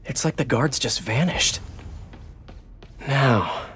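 An actor playing a young wisecracking superhero in a video game, earnest and curious, with a quippy, conversational delivery. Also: male voice, mid-range voice, lively intonation, slow pace, fairly bright tone, soft background music. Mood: musing. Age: young adult. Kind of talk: naturalistic video game acting.

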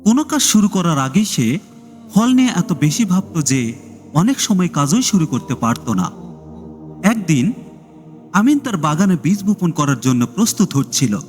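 A man tells a scripted moral story in a fairly high, very animated voice. His narration rings in a long reverb, with music playing behind it. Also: moderate pace, long pauses.

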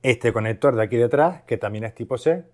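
A man's voice: medium pitch, brisk. A man from Spain giving a casual, conversational product review, explaining things by asking and answering his own questions. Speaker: video host, product reviewer.

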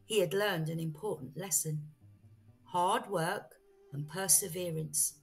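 Woman reading a children's story, unhurried. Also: fairly low pitch, husky. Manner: expressive, deliberate storytelling. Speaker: storyteller, teacher. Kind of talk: children's bedtime story read-aloud. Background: faint music.

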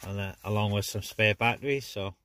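Bright-voiced man on CB. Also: fairly low pitch, fairly quick. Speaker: CB radio operator hosting a net. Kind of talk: casual radio chat. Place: inside a parked car.

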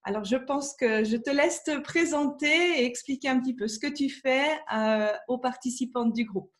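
Brief: high-pitched woman, welcoming host of a live webcam masterclass. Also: normal speed. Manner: welcoming, introduces the guest and greets viewers by name. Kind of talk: hosting a live video session. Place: home room. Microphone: webcam on a video call.

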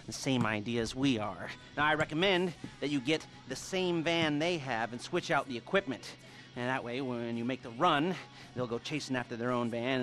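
An actor playing a smug, sardonic middle-aged heist planner in a video game, talking haltingly with muttered asides and nervous chuckles. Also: male voice, mid-range voice, a bit bright, natural voice. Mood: pleased, instructive. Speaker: mission briefer. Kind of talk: video game cutscene acting. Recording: music behind the voice.

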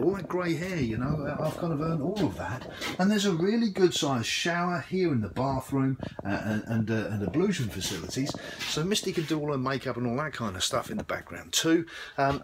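A middle-aged man with a British accent giving a chatty, rambling walk-through pitch to camera as a salesman. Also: big pitch swings, moderate pace. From United Kingdom. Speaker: RV salesman presenting a vlog. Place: RV sales lot, outdoors and inside trailers. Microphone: phone at arm's length. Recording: handheld recording with clear ambient noise.

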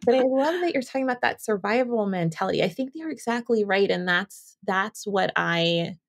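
A woman's voice: mid-range voice, animated, normal speed. A woman from Southern California, hosting a podcast and chatting in a rambling, thinking-aloud way. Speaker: podcast host. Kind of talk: casual interview chat.

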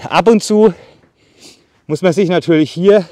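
A man in his thirties demonstrating a saw outdoors in casual talk, a bit out of breath, with many fillers and pauses. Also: high-pitched, darkish tone. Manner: chatty, filler-heavy, pauses while sawing. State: slightly out of breath from sawing. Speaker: bushcraft channel host. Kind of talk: casual product demo. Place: outdoors in a leafy meadow by trees. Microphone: far camera on a tripod.

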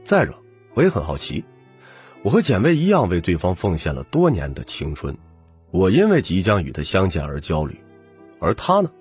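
A man's voice: low-pitched, darkish tone. A man narrating a novel in the first person as a scripted audiobook.

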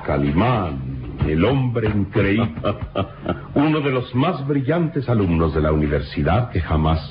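Deep-voiced hearty old colonel. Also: male voice, sing-song, measured pace. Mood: jovial, admiring. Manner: jovial, grandiloquent. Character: corpulent, hearty older male colonel and professor. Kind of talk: old radio drama acting. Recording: dull old radio recording, noisy background.

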